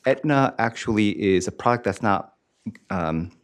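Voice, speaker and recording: Low-voiced man explaining in plain terms. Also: expressive, measured pace. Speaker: investment consultant presenting to a committee. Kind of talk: explanatory financial presentation. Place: public committee meeting.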